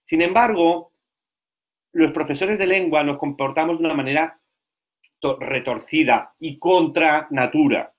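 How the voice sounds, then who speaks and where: A man giving a lecture to language teachers, lively and often checking that listeners follow. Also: a little high, a little slow, dull audio. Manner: lively, asks frequent check questions.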